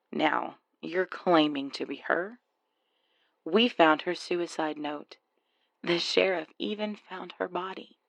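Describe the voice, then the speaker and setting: A woman playing a scheming, venomous gold-digging wife in an audio drama, with a breathy, gravelly low voice and sneering contempt. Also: dry recording, exaggerated voice. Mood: cold menace. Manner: sneering, threatening, hissed contempt. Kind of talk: audio roleplay acting.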